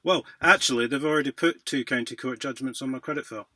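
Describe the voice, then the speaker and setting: A man's voice: medium pitch, fast talker, pushed and bright, dry recording. A British man in a casual phone conversation, speaking in an insistent, plain-spoken, matey way. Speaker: caller disputing a court judgment.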